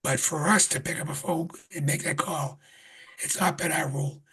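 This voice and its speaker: A man chairing a town board meeting in a conference room, speaking earnestly with restarts, his voice getting raspy. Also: on the high side, steady tone, moderate pace, bright, forceful tone. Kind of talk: public meeting remarks.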